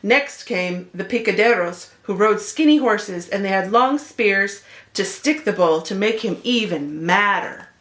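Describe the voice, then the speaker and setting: A woman's voice: mid-range voice, animated, unhurried, a bit bright. A woman narrating a children's picture book expressively, as a read-aloud host speaking to young listeners.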